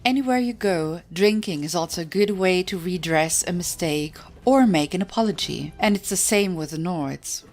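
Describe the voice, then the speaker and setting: A woman reading a scripted, humorous fantasy book aloud in a measured storytelling manner. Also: lowish voice. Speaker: audiobook reader. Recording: music behind the reading. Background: background music.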